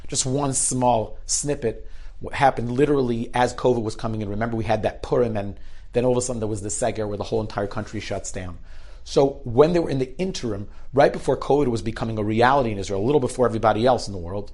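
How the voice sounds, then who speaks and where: Animated man telling inspirational stories. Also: medium pitch, quick pace. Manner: animated storyteller, acts out quoted rabbis, restarts often. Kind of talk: spoken inspirational storytelling.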